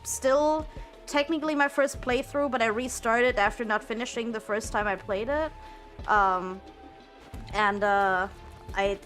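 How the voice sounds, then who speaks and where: A woman's voice: fairly high pitch, unhurried, a bit bright, faint music behind. A young woman streaming, chatting casually while she plays, repeating words and filling gaps with ums and likes. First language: German. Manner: rambling, repeats words, many fillers.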